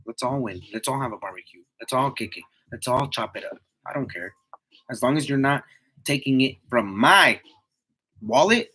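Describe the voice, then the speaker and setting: A man's voice: mid-range voice, pushed and bright, breathy edge, poor audio. A man in his twenties chatting casually to live viewers in a slangy, motivational way, repeating himself for emphasis. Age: 20s. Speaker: livestream host, tree climber.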